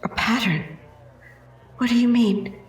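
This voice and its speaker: A woman playing a mild, sympathetic woman in an audio drama, gentle and hushed. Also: a little high, fairly even intonation, slow pace. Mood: questioning. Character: mild, sympathetic woman who defers to the men. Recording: echoey room, faint noise.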